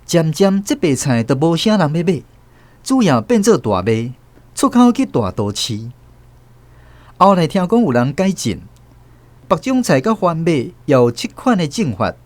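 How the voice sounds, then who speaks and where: A man narrating a radio drama as host, with expressive storytelling. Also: fairly high pitch, measured pace, no room sound.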